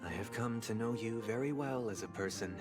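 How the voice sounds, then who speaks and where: An actor playing a composed, menacing, high-ranking gentleman in a video game, speaking in a measured, formal, condescending way. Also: natural voice. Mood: coldly polite. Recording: game dialogue with music underneath.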